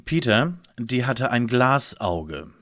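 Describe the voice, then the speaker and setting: A man's voice: medium pitch, sing-song, slow pace, fairly bright tone. A man playing a pompous know-it-all young detective leader in an audio drama parody, with formal wording. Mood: dry, correcting.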